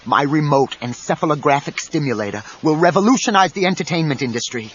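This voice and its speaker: An actor playing an obsessive, grandiose inventor with an ingratiating manner in a 1990s audio drama. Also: male voice, fairly high pitch, fast talker, slightly rough. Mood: fawning excitement. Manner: over-excited, ingratiating, grandiose. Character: eager, obsessive, fawning male inventor, nursing resentment. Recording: dull cassette, faint noise.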